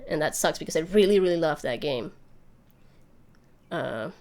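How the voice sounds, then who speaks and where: A woman's voice: sits low, expressive, moderate pace, darkish tone. A woman hosting a livestream, chatting casually and rambling as she thinks aloud while answering viewer questions. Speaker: YouTube channel host.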